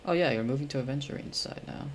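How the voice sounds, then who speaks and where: A man giving casual live reaction commentary on a game, informal and thinking out loud in questions. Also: medium pitch, fast, slight background noise.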